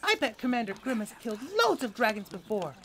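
An eager, imaginative small child in a fantasy audio drama, played by a woman. The voice is high and lively, insistent and keen in every phrase. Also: fast talker, noisy background. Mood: excited. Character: eager, imaginative young child who loves dragons.

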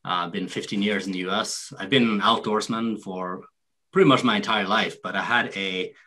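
A man from Norway hosting a hunting webinar over a video call, speaking hesitantly with many pauses and fillers. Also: lowish voice, steady tone, sharp tone. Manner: hesitant, many ums and restarts. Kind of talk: casual video call introduction.

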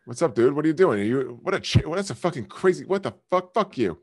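A man hosting a live stream, heckling strangers in random video chats with loud, crude, swearing banter. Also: on the high side, very animated, quick pace, slightly rough. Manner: loud, taunting, swearing often. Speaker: streamer host.

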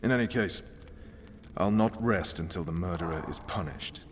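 An actor playing a stern, world-weary special forces commander in a video game, clipped, commanding and menacing. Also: male voice, low voice, moderate pace, long reverb tail, slight background noise, close to an everyday voice. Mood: grave. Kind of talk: dramatic video game acting.

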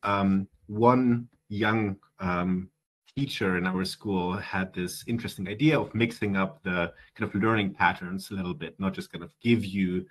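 A man's voice: sits low, steady tone, a little slow. Chatty young man with German accent. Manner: chatty, many fillers and restarts. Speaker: guest. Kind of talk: casual interview answers. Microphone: headset on video call.

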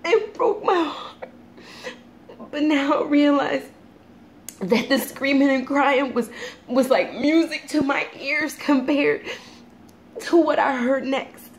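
A woman performing a dramatic monologue as a grieving, unhinged mother tormented by guilt, halting and anguished. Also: sits high, slight background noise. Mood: distraught.

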